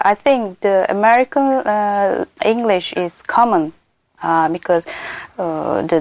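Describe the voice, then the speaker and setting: A young woman from Turkey speaks English with a Turkish accent, her first language being Turkish. She talks in a halting, unscripted way, stopping often and filling the gaps with "uh". Her voice sits at an ordinary pitch and sounds close and dry, with no room echo. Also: a little mellow. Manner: hesitant, frequent pauses and "uh" fillers. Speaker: doctor and doctoral student. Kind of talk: unscripted spoken account for a listening exercise.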